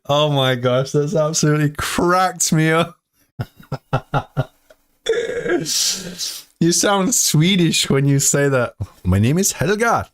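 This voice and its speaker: A man's voice: fairly high pitch, very slow, long pauses, a bit bright, clear, resonant tone. A man in his thirties hosting a casual live stream from a hot room indoors, joking around and laughing often. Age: 30s. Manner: jokey, laughs often, chatty.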